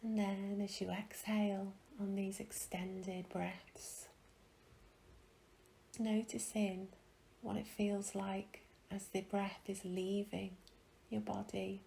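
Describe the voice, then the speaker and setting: A woman guiding a meditation in a soft, breathy, soothing way, leaving long pauses between phrases. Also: mid-range voice, level tone, slight background noise, low-quality recording. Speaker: meditation guide. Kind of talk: guided meditation.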